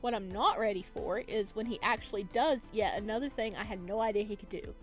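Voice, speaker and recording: A woman's voice: medium pitch, fairly quick. A woman chatting casually and giggly as she plays a game and reads its story text aloud. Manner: chatty, giggly, reacts aloud while reading. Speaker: let's play host and reader. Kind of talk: casual game commentary and reading visual novel text aloud. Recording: music behind the voice.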